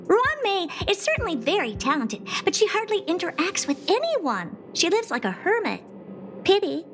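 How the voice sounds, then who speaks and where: A woman playing a brisk, haughty, girlish researcher in a video game, bossy and dismissive in manner. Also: very high-pitched, huge pitch swings, full character voice. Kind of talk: video game character voice acting. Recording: music behind the voice.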